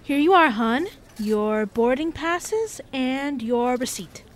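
High-pitched folksy airline agent. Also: female voice, expressive. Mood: brisk, helpful. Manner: brisk, familiar customer-service patter. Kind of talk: radio drama acting.

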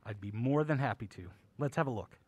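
An actor playing a courtly, whimsical old uncle who runs a curiosity shop in an audio drama, genial and theatrical, with old-fashioned manners. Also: male voice, medium pitch, expressive, brisk, dry recording, natural voice. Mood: courteous.

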